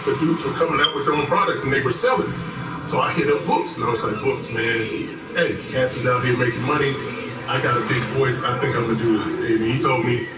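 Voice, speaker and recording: Animated man telling a story, echoey room. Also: mid-range voice. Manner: animated, storytelling with quoted dialogue. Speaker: co-host. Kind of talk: casual podcast chat. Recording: echoey, distant mic with music behind.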